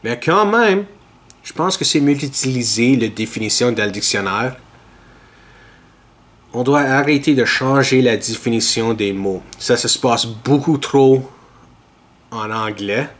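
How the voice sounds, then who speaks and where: A man, hosting a podcast and giving measured, opinionated commentary to a webcam in a small room. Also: mid-range voice, a bit bright. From Ontario, Canada. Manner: measured, earnest and opinionated, talks straight to camera. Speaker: podcast host. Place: small indoor room with dark curtains.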